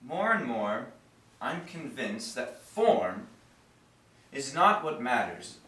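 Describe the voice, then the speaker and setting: A man performing a stage monologue as an earnest, disillusioned writer who muses philosophically and deliberately. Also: mid-range voice, big pitch swings, sharp tone, full character voice. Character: earnest, disillusioned male writer musing on life. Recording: echoey room, far from mic.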